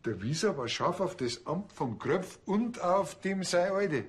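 Cheeky high-voiced Bavarian cop. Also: male voice, animated, measured pace. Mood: speculating. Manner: colloquial, blunt. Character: cheeky, blunt male police officer.

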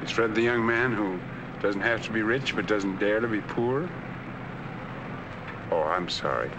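An actor playing a wealthy, lonely middle-aged man in a 1940s film, polite, gentle and well-spoken. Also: fairly low pitch, unhurried, highs cut off, close to an everyday voice. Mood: earnest. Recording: hissy 1940s film soundtrack.

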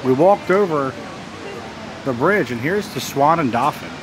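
A man's voice: fairly high pitch, expressive, a little slow. An American man in his thirties narrating a casual vlog as he goes around, recorded on a handheld camera. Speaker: vlogger. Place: out and about on a boardwalk and in restaurants. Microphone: handheld camera at arm's length. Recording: noisy handheld camera audio with ambient din.

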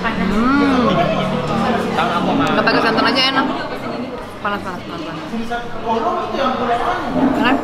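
A woman's voice: a little high, expressive, takes it slowly, long pauses. A young woman vlogging casually to the camera as she tries food in eateries and at an airport, upbeat and chatty. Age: young adult. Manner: chatty, upbeat, talks to viewers. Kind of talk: casual travel food vlog. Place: indoor food stalls and airport. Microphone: handheld camera. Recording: loud music and crowd behind.

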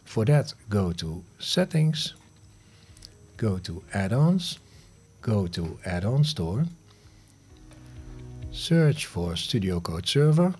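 A man in his fifties with a fairly low, noticeably husky voice hosts a scripted software tutorial. He speaks in instructional, step-by-step phrases into a close studio microphone, with some music behind him. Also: big pitch swings, slow, long pauses. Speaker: tutorial host. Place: home studio room, indoors. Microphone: close studio microphone on a boom arm.

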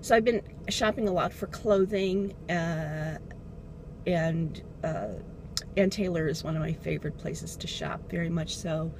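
A woman's voice: fairly low pitch, measured pace. A middle-aged woman chatting casually while she drives, rambling and losing her train of thought as she goes. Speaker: beauty vlogger. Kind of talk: casual chat. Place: inside a car while driving. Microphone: dashboard camera. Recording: car engine and road noise.

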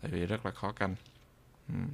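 A man giving an unscripted software tutorial in a hesitant, halting way, often repeating phrases. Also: deep voice, fairly even intonation, creaky. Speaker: video tutorial presenter.

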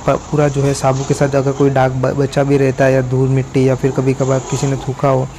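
A man's voice: medium pitch, completely flat delivery, fairly quick, warm tone, slight background noise, rough recording. A young man vlogging casually at an outdoor car wash, explaining each step in a chatty way with lots of fillers. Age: young adult. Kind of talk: casual vlog narration.

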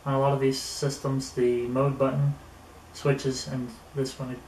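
A middle-aged man talks casually through a product review in an unhurried, mild manner. His pitch stays fairly flat. He is picked up from across a small, echoey room, with clear noise in the recording. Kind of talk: casual unboxing and gear review, talking to camera. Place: small indoor room at a table. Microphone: camera a few metres away. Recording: roomy, distant camera mic with noticeable noise.

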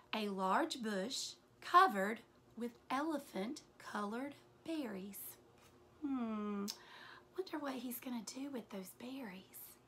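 A woman in her forties reads a picture book aloud as a teacher would, in an animated, deliberate way with hushed asides. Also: fairly high pitch, a little hiss or hum. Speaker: teacher reading aloud to children. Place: small furnished room indoors.

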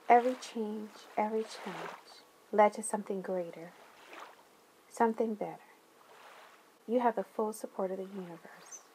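A woman guides a meditation in a soft-edged, mellow voice at a very slow pace. She places each soothing phrase gently and deliberately, leaving long pauses between them. Faint watery ambient noise runs behind her. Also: medium pitch, poor audio.

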